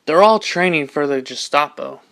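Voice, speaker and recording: Male actor as eager young hepcat for radio play; highish, bright voice, slangy, excitable. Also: moderate pace, gravelly. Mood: defensive, urgent. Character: excitable, loyal young male swing kid.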